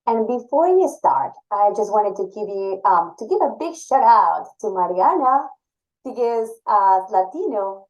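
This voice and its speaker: A woman from Ecuador, a native Spanish speaker, talks as a guest on a college podcast. Her voice sits at a middling pitch, and her English is earnest, with small slips and restarts. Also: a little mellow, muffled recording. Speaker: assistant director of graduate admission.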